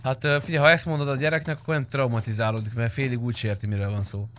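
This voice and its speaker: A man's voice: mid-range voice, steady tone, a bit bright, a little hiss or hum. A young man streaming an online roleplay game, bantering casually and bluntly with coarse language. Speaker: gaming streamer. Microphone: close streamer microphone.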